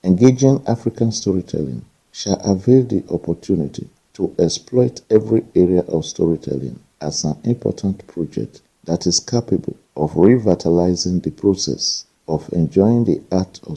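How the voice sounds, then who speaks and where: A man with a deep, dark and husky voice reads a prepared academic statement. His delivery is formal and measured, with bookish wording. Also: animated, poor audio. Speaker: academic contributor.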